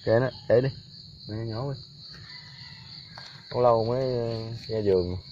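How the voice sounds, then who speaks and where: A man's voice: lowish voice, moderate pace, dark tone, muffled recording. A man with a southern Vietnamese Mekong Delta accent, chatting casually and exclaiming as he films outdoors on a phone, often repeating his phrases. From Ben Tre, southern Vietnam. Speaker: vlogger filming with a phone. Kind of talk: casual outdoor vlog chat. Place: outdoors in a rural coconut garden. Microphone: handheld phone behind the camera. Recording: noisy outdoor phone recording with insects.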